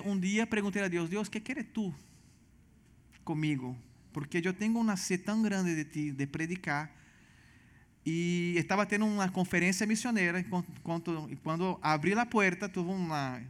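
A man from Venezuela with a Brazilian Portuguese accent, earnestly telling his testimony as a missionary guest, halting and restarting often. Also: high voice. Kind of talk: personal testimony in church interview.

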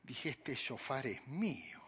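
A man's voice: medium pitch, slow, breathy edge, in a large hall. A man with an Argentine accent, a pastor delivering a sermon and talking straight to his congregation while he tells a personal story. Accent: Argentine Spanish. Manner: animated, storytelling preacher who addresses the congregation directly.

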